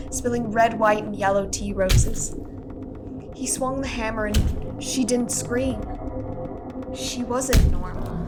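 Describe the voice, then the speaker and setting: A woman reading scripted storytelling narration for a radio play. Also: medium pitch.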